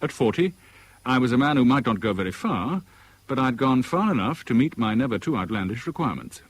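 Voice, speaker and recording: An actor playing a wry, orderly British banker in his forties in a 1970s radio drama, measured, formal and articulate. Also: medium pitch, very animated, slightly rough. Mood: wry, reflective. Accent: British English. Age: 40s. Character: wry, orderly forty-year-old sacked merchant banker, male. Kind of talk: 1970s British radio drama acting, first-person narration. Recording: 1970s radio recording, faint background noise.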